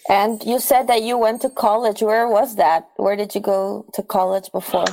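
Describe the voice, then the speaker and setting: A woman's voice: mid-range voice, steady tone, normal speed, darkish tone, a little hiss or hum, poor audio. A woman co-hosting a podcast, chatting casually and asking curious follow-up questions. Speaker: podcast co-host.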